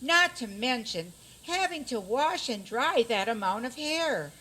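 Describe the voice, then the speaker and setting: A woman plays an aggrieved storybook maiden in a radio comedy. Her voice is high and swooping, delivered slowly, with a dramatic, plaintive plea in it. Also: lively intonation, pushed and bright, full character voice, rough recording. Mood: aggrieved pleading. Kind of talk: comic community radio theater.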